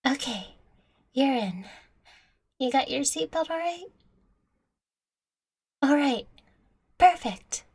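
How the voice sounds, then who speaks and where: A woman's voice: high-pitched, lively intonation, slow, long pauses, darkish tone, full character voice. A woman playing a playful, affectionate young catgirl girlfriend in an intimate audio roleplay, teasing, coaxing and tender with the listener. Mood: caring, guiding.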